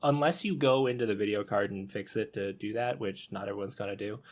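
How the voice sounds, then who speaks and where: A man's voice: lowish voice, brisk. A man talking casually on a podcast, conversational and given to acting out what people might say.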